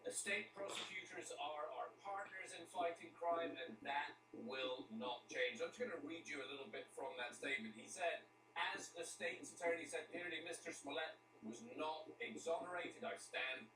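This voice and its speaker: News correspondent, distant and noisy. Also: male voice, fairly high pitch, moderate pace, bright, forceful tone. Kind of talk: broadcast news report. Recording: distant, echoey, noisy replayed broadcast.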